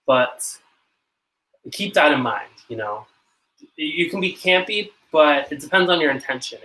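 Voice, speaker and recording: Young north american guy rambling on a live stream, bright voice, kinda self-conscious, laughs a bit. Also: mid-range voice, poor audio. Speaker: channel host. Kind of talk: casual live-stream chat to camera.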